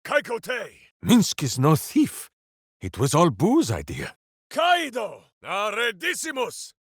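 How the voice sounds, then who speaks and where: A hearty berserker hero for a video game, simple-minded and boastful. He declaims slowly and theatrically, swinging widely in pitch. The sound is close and dry. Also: wildly swooping pitch, breathy edge, no room sound, heavily acted. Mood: battle cry, then hearty, earnest. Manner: bombastic, theatrical, speaks of himself in third person. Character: hearty, simple-minded, boastful heroic berserker warrior devoted to his hamster. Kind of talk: video game character voice lines.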